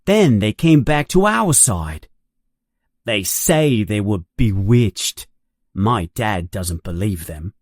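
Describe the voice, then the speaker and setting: A man's voice: fairly low pitch, very animated, takes it slowly, no room sound, clean studio sound, heavily acted. A man voicing an indignant, loyal schoolboy in an audiobook, sounding earnest and resentful.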